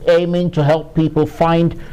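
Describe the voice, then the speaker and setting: A man asking interview questions in measured, formal phrasing. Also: fairly high pitch, level tone, dark tone, clean tone.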